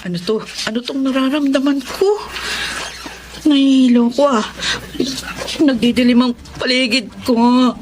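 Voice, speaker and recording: Shrill, hot-tempered Filipino wife. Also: female voice, fairly even intonation, unhurried, pauses often, low-quality recording. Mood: weary, weakening. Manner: shrill, scolding, accusing. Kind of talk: melodramatic radio drama acting.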